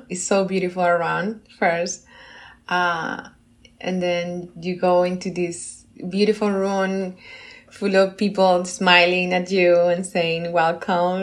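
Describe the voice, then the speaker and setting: Woman from Venezuela, lowish voice. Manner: enthusiastic, slightly non-native phrasing. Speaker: guest. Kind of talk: casual interview talk.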